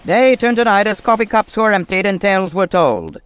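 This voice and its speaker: An actor playing a dramatic narrator in the style of an old-time radio serial. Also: male voice, high voice, expressive, normal speed, a bit bright, faint music behind.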